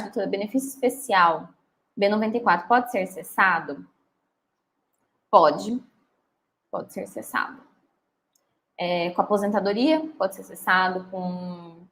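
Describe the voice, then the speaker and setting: A woman's voice: mid-range voice, measured pace, long pauses, fairly bright tone. Chatty woman lawyer. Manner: chatty and reassuring, often ends with "tá?". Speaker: lawyer answering viewer questions. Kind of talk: live Q&A, reading comments aloud.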